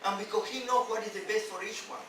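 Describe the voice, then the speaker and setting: Rabbi guy preaching w non-native english, highish voice, emphatic, stops n repeats, super echoey room. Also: steady tone, a bit bright. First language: not English. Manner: emphatic, halting phrasing with repetition and rhetorical questions. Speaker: rabbi giving a teaching. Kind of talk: sermon on scripture. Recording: distant, very echoey, noisy room recording.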